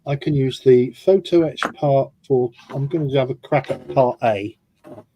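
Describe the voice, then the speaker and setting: Co-host: mellow, warm male voice, matter-of-fact, faint background noise. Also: medium pitch, normal speed. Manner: matter-of-fact, explains his building steps. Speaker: co-host model builder. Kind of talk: casual chat while model building.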